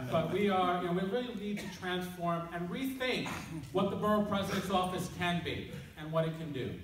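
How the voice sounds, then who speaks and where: A man giving a loud, energetic campaign speech at a community forum, standing away from the mic in a hall. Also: high voice, a little slow, noisy background. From Queens, New York. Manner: loud, energetic stump speaking. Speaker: political candidate. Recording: echoey hall, speaker far from mic.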